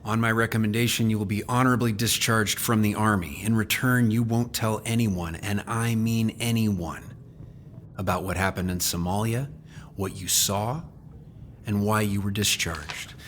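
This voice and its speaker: A man playing a stern older senior army officer, measured and commanding, in an audio drama. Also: lowish voice, steady tone, a bit bright, a little hiss or hum, natural voice.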